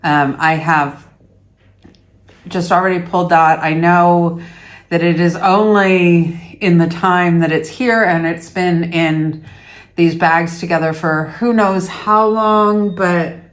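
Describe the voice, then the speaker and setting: A middle-aged woman from Canada, talking slowly and easily to the camera from her dining room. Her voice sits a little low, and she rambles with fillers and self-deprecating asides. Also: steady tone. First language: English. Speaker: yarn vlogger host. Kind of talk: casual chat to camera.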